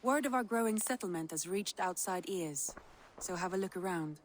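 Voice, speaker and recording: A woman playing a shrewd, composed Viking advisor in a video game, measured and knowing. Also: lowish voice, animated, slight background noise, close to an everyday voice. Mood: calm, advising. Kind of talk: video game cutscene acting.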